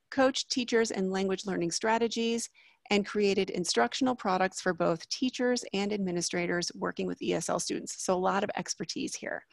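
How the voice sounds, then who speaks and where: A woman's voice: medium pitch, creaky, dry recording. A woman hosting an online webinar, introducing a guest speaker over a video call. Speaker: webinar host. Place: indoor room on a video call.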